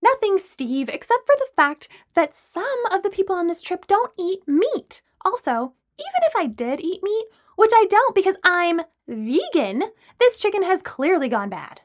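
A woman's voice: huge pitch swings, measured pace, few pauses, sharp tone, full character voice. A woman voicing an outspoken young woman in an audiobook, blunt and indignant, pressing every point emphatically. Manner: blunt, emphatic, high-pitched.

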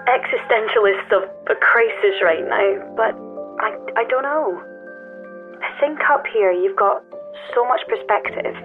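An actress playing a reflective, weary but serene climber in an audio drama, talking gently and hesitantly through a telephone filter. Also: female voice, medium pitch. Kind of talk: naturalistic audio drama acting, intimate conversation. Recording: tinny phone line, music underneath.

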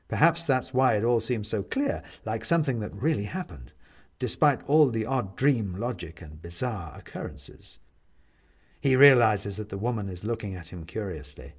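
A man's voice: fairly low pitch, slightly rough. A man narrating a scripted story expressively as an audiobook reader.

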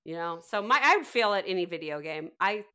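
Bright-voiced woman, wry and self-deprecating. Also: on the low side, lively intonation, a little fast, clear, resonant tone. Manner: wry, joking, self-deprecating, swears casually. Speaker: podcast co-host. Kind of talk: casual group chat.

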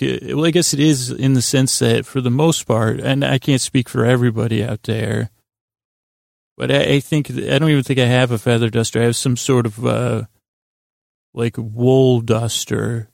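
Creaky-voiced, soothing, rambling male podcast host. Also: medium pitch, fairly even intonation, dry recording, clean studio sound. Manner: meandering, halting, gently self-deprecating; lulling, soothing tones. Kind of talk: rambling sleep podcast monologue.

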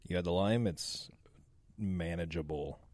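A low-pitched man appearing as a podcast guest, trading blunt and opinionated takes in a loose, casual conversation. His voice sits very low and is quick to dismiss things. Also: darkish tone, no room sound. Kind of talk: casual chat.

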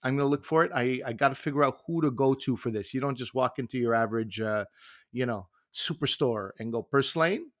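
A man bantering with his co-host in a light, teasing way. His pitch is average, and the recording is dry and close, with no room echo. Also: brisk, a bit bright. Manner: playful, teasing, enthusiastic. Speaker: podcast co-host. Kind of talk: casual chat.

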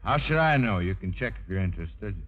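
An actor playing a brusque, self-pitying husband in 1950s radio drama, clipped and sardonic. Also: male voice, on the low side, lively intonation, brisk. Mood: bitter. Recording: muffled, phone-like old radio broadcast, faint music.

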